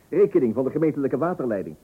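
A man's voice: mid-range voice, very animated, fast, husky. An actor playing a pompous, thrifty father who makes speeches in an old radio comedy, with a Netherlands Dutch accent. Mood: indignant. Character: pompous, thrifty, self-important husband and father. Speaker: actor playing the narrator of a family comedy serial. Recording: muffled old radio recording.

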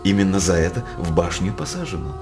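An actor playing a sly, envious royal counsellor in a theatrical radio play, with an insinuating, mock-deferential manner. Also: male voice, deep voice, fast talker, natural voice. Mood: scheming. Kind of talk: theatrical verse radio drama acting. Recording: music behind the voice.

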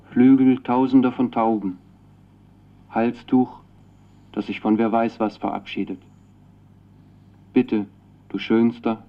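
An actor playing an earnest, naive young man speaking a ceremonious recorded message in a radio drama, through a narrow tape filter. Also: mid-range voice. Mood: solemn, reciting. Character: earnest young Chilean man, devoted admirer of a poet.

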